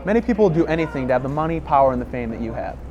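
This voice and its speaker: A man's voice: mid-range voice, lively intonation, very fast, muffled recording, a crowd in the background. A male reporter asking direct, scripted interview questions outdoors in a city. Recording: noisy street with passing traffic.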